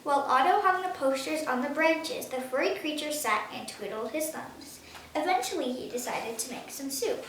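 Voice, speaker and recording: A woman's voice: high-pitched, lively intonation, moderate pace. A ten-year-old girl reading a children's storybook aloud. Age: child, ten years old. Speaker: story reader. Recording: very echoey room, distant mic, faint noise.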